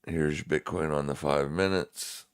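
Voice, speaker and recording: A man's voice: rumbling low, fairly even intonation, a little slow, clean tone. A man with a smoker's voice giving casual, laid-back live market commentary to viewers as a streaming trading host.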